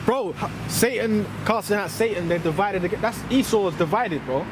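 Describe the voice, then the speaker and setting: A man with a London accent talking in an animated, slangy way in a casual street discussion. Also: high-pitched, normal speed. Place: outdoors by a road. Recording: outdoor traffic noise.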